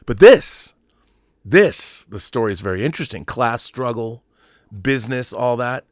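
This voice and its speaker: American man, middle-aged, medium pitch, opinionated casual monologue, emphatic, rambling, salty language, dry room-free sound. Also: animated, measured pace, dry recording. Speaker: show host.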